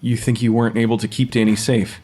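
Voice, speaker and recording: An actor playing a brusque forensic pathologist in an audio drama, clinical and matter-of-fact. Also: male voice, mid-range voice, little pitch movement, fast talker, mellow, husky, faint music behind, lightly acted. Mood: measured. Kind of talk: dramatic audio fiction acting.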